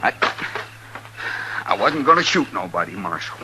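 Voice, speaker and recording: A man acting a cornered, sullen outlaw in a 1950s radio western, rough and defensive. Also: fairly low pitch, big pitch swings, normal speed, sharp tone, exaggerated voice. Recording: noisy, muffled 1950s radio recording.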